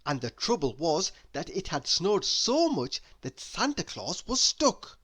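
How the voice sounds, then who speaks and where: A man reading a children's story aloud in a deliberate, expressive way, leaning on key words. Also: a little high. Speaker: storybook reader.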